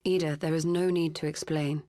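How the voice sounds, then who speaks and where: A woman acting a composed, caring comrade in a video game cutscene, with measured, formal phrasing. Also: deep voice, steady tone, dark tone, close to an everyday voice. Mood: gently concerned. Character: composed, discreet, caring woman of a fantasy order.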